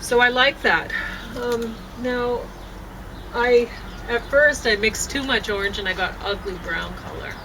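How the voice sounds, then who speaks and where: A woman's voice: fairly high pitch, unhurried, pushed and bright. Woman, middle-aged, rambling casual chat, outdoor deck, noisy background with birds and traffic. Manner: rambling, chatty, many fillers and restarts. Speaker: vlogger. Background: birds and passing traffic.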